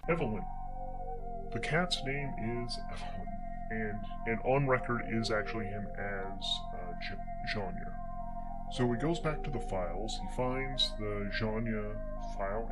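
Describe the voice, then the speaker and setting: A man narrating an improvised tabletop game story as solo game master, halting and often pausing to search for words. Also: on the low side, rough recording. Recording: music playing under the voice. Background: prominent instrumental music.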